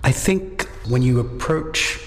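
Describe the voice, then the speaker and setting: A man in his fifties, a professor being interviewed, answering in a measured, reflective way with occasional hesitations. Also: medium pitch, soft background music. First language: English. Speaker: interviewed professor of Czech linguistics. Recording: long hall reverb.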